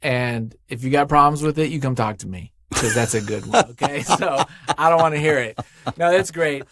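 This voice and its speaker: A man's voice: fairly high pitch, normal speed, fairly bright tone, a little hiss or hum. A man being interviewed in a studio as a writer, chatting casually in an effusive, jokey, rambling way. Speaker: interview guest, podcast writer. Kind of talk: casual, unscripted interview chat.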